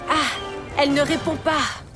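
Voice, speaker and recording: Woman as a high-voiced brainy cartoon dinosaur. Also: steady tone, moderate pace, music in the background, close to an everyday voice. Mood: earnest, concerned. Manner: explanatory, earnest. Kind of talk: animated children's series voice acting.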